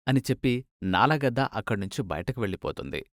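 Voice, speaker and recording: A man's voice: medium pitch, expressive, brisk, no room sound, clean studio sound. A man narrating a scripted children's cartoon story as its storyteller.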